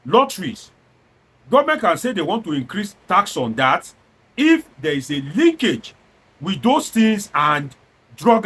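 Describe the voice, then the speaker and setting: A Nigerian man giving informal commentary in an animated way, explaining with examples and rhetorical questions and slipping into Pidgin. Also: high voice, slightly rough. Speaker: political commentator.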